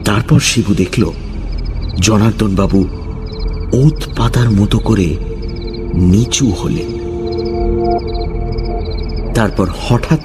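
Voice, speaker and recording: A man narrating a scripted horror story dramatically, with suspenseful pauses. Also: lowish voice. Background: music.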